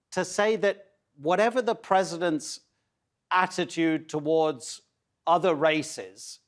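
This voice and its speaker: A man working as a news anchor, asking long, measured questions in a formal interview. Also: fairly high pitch.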